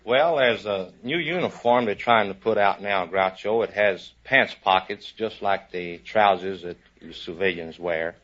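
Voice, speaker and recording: A man's voice: low voice, measured pace, few pauses, a bit bright. A man, a navy sailor, giving plainspoken, folksy answers as a contestant on an old 1950s radio show. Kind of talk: casual interview answers. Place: studio in front of audience. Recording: noisy muffled 1950s radio broadcast recording.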